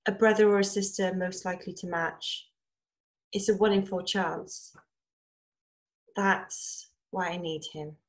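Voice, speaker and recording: A woman acting a sick, pleading daughter in a drama scene, earnest and halting. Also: on the low side, fairly even intonation, close to an everyday voice. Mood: grave, urgent. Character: seriously ill, pleading, practical daughter.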